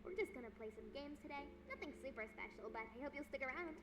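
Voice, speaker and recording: A woman's voice: sits high, expressive, quick pace, a bit bright. A woman playing an upbeat, caring young livestreamer chatting to her fans in an audio drama. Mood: cheerful, caring. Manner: chirpy, nurturing, chatty. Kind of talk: audio drama acting as streamer chat. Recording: clear background noise and ambience.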